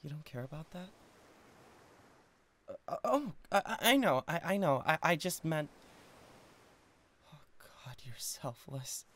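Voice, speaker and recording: A man's voice: fairly high pitch, big pitch swings, unhurried, long pauses, a bit bright, slight background noise. A man voicing a lovestruck, awkward devil king of Hell in an intimate ASMR roleplay, hesitant and stammering. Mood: flustered. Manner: hesitant, stammering, swinging from tender to giddy. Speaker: audio roleplay voice actor.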